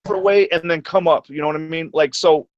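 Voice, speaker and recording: A man's voice: a little high, fairly even intonation, fast, no room sound, muffled recording. A man hosting a live stream, talking bluntly with some swearing and stuttering when he speaks off the cuff. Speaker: stream host. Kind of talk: casual chat.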